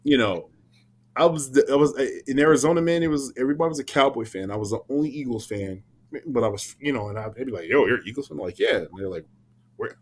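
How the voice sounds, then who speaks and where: A man's voice: mid-range voice, quick pace, husky. A man in his thirties from Philadelphia, a guest on a casual podcast, telling stories with slang and acting out what people said. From Philadelphia, USA. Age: 30s. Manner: animated storyteller, acts out quotes, slangy.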